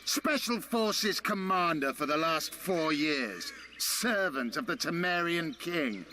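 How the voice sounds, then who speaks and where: Defiant elf commander, high bright voice, slow theatrical contempt, video game villain. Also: male voice, slight background noise, heavily acted. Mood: scornful, declaiming. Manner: theatrical, contemptuous, deliberate delivery. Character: proud, menacing, defiant elf rebel commander. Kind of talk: dramatic video game acting.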